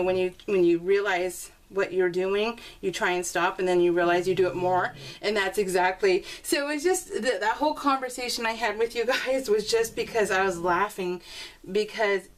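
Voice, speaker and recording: A woman chatting casually to her viewers in a craft video, rambling and often interrupting herself in a giggly way. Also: mid-range voice. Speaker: craft video maker showing scrapbook pages. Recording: clearly noisy home recording with clicks.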